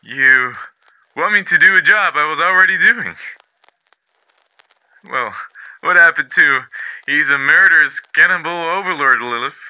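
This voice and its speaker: A man's voice: on the high side, a little slow, pauses often, bright, forceful tone, clean tone, poor audio. A man voicing a smug, grinning male demon overlord in a fan comic dub, talking in a theatrical, mocking, sing-song way. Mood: sly.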